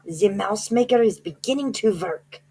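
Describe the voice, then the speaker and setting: A woman voicing a sinister, commanding female witch leader, shrill and theatrical in a storybook reading. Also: expressive, normal speed, dark tone, a little hiss or hum, natural voice. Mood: shrieking.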